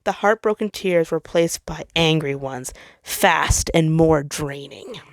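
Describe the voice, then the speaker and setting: Casting: female narrator for dramatic fan fiction, slightly low register, dry unechoed sound. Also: a little slow, vocal fry, no echo.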